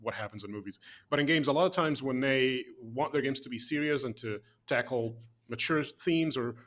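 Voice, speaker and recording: A man co-hosting a casual video chat about games, talking at length and acting out quotes in a raised voice. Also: normal speed. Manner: animated, acts out quotes in a higher voice. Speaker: podcast co-host.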